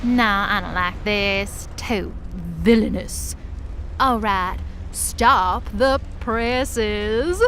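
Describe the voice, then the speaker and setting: A woman playing a vain, playful demoness villain in an audio drama, with a showy, mocking, drawn-out delivery. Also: medium pitch, a bit bright, a little hiss or hum. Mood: put out.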